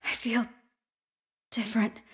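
An actress voicing a guarded, sarcastic, distressed young American woman with powers in a video game, speaking in a breathy, emotionally expressive way. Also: slow pace. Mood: shaken, confused. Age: young adult.

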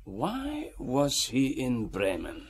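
A stolid police inspector in a radio play. He speaks very slowly and officially in a mid-range voice, with plenty of rise and fall. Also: medium pitch, wildly swooping pitch, slightly rough, full character voice. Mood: matter-of-fact. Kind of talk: radio drama acting.

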